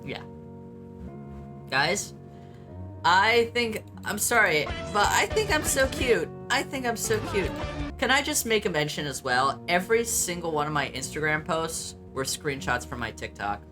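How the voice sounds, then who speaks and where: A young man with a light voice carrying some bass, reacting to his old videos in casual talk, blunt and self-mocking, swearing freely and filling pauses with ums. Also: very animated, music in the background. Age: young adult.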